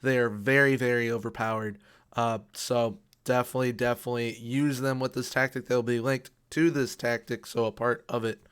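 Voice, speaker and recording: A young man talks through a video game in a casual, upbeat commentary, doubling words for emphasis. His voice is smooth and clean but held in a narrow, flat melody, and it sounds a little worn, as if he has been losing it lately. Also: mid-range voice, normal speed. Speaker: video game tactics youtuber.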